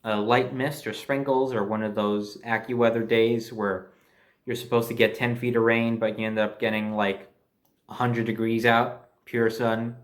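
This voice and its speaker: A man's voice: medium pitch, little pitch movement, moderate pace. An American man telling a personal anecdote in a casual, conversational and emphatic way, as a storyteller. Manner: conversational, emphatic, mildly profane.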